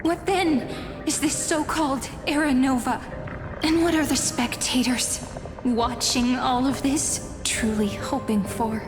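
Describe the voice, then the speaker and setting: A grieving young fantasy game heroine with a fairly high voice. She speaks slowly and solemnly, with wistful weight, in a long echoing reverb. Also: steady tone. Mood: wistful, resigned. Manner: solemn, wistful, measured delivery. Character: sorrowful young heroine of a doomed fantasy world. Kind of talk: dramatic video game voice acting. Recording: long reverb with music behind.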